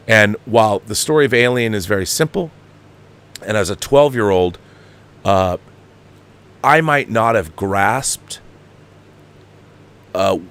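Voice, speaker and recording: A middle-aged American man with a fairly low voice hosts a film commentary show. He speaks off the cuff and with passion, leaning hard on words, stopping and restarting as he wanders into side points. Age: 50s. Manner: emphatic, halting, digressive delivery.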